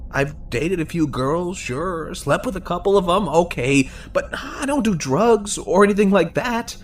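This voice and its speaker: A man's voice: sits high, lively intonation. A man playing a bewildered, defensive twenty-two-year-old slacker in an audio drama, slangy and incredulous. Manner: slangy, incredulous drawn-out delivery. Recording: faint background noise.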